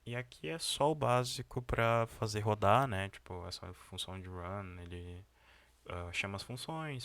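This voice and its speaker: A young man with a very deep voice talks through his research project in a loose, casual way. He hesitates often, fills pauses and restarts his sentences. The sound is close and completely dry, with no room around it. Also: a bit bright, smooth, no room sound. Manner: hesitant, many fillers and restarts. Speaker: student presenting a research project. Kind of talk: casual screen-share walkthrough.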